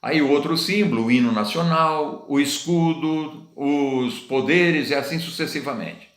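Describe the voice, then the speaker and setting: A man's voice: fairly high pitch, unhurried. A middle-aged Brazilian man preaching in an informal, conversational way, repeating phrases and asking his listeners rhetorical questions. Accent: Brazilian Portuguese. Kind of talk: informal sermon teaching. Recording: roomy echo.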